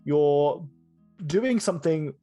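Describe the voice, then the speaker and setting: A man with a fairly high voice runs a tabletop roleplaying game. He improvises his narration haltingly, circling back to rephrase, with faint music behind him. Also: lively intonation, warm tone. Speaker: game master.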